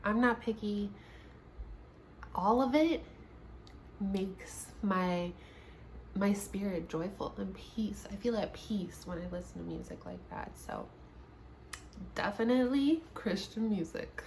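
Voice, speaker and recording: A woman's voice: medium pitch, sing-song, slow, long pauses, darkish tone, a little hiss or hum. A woman in her late twenties chatting casually in a sit-down get-to-know-me vlog, laughing often and restarting her sentences. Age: 20s. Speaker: YouTuber. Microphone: camera mic, seated at home.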